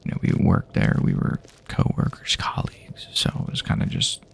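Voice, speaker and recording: A man playing a wary but hopeful, laid-back apocalypse survivor in a hushed, halting, rambling, partly whispered ASMR roleplay. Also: a little hiss or hum, natural voice. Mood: casual, wry. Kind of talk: improvised ASMR roleplay, soft-spoken.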